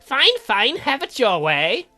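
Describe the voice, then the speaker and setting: Cheeky, high-pitched potion-brewing uncle played by a woman. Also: big pitch swings, takes it slowly, pushed and bright, soft background music, exaggerated voice. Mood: cheeky, animated. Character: eccentric, cheeky uncle who brews potions.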